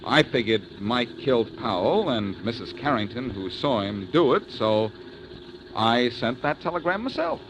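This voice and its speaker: An actor playing a confident, wisecracking detective in a 1940s radio drama. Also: male voice, mid-range voice, very animated, unhurried, fairly bright tone, low-quality recording. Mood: explaining. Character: wisecracking reformed-crook detective, man. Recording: old radio recording with faint hiss.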